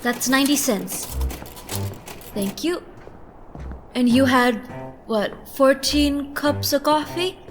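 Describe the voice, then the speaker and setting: A woman's voice: high voice, slow pace, long pauses, soft background music. A young woman playing a folksy diner counter worker in a school radio play. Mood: chatty. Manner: chatty, folksy.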